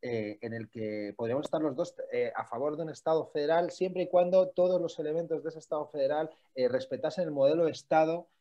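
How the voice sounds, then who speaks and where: A man's voice: a little high, quick pace, few pauses, dark tone. A man in a debate, talking in an emphatic, lecturing way and picking apart the meaning of words. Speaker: debate participant. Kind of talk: heated debate. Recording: dull lo-fi recording.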